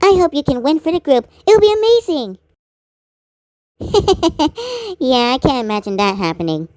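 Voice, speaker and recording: A woman's voice: sits high, very animated, measured pace, a little mellow, no echo, clean studio sound. A woman doing amateur cartoon voice acting in an exaggerated, theatrical manner.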